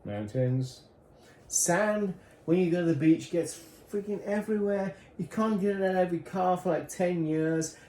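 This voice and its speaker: A man's voice: high voice, expressive, moderate pace, mellow. A man with a British accent that has drifted toward American, rambling easily and joking in a casual couple's chat. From Britain. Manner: rambling, easygoing, jokey. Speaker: husband in a couple's question game. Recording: echoey room, faint background noise.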